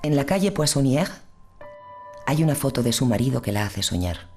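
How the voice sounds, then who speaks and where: A man with a mid-pitched, creaky voice reads literary narration. Faint music sits behind him. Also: sing-song, normal speed, a little mellow.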